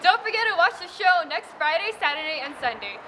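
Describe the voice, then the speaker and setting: High-voiced teen girl quiz host. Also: big pitch swings, fairly quick, sharp tone, slight background noise, low-quality recording. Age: teens. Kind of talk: hosting a quiz. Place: outdoors at a school.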